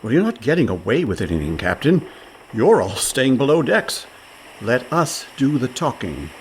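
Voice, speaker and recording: An actor playing a quick-witted, placating con man who poses as a pirate in a comedic radio play. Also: mid-range voice, sing-song, a little hiss or hum, close to an everyday voice. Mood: confident. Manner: wry, placating, articulate.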